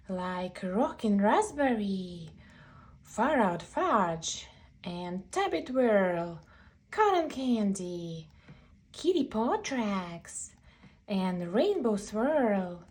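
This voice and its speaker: A woman reading a picture book aloud for children, expressively, with drawn-out emphasis on phrases. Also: a little high, a little mellow, slightly rough. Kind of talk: animated read-aloud picture book narration.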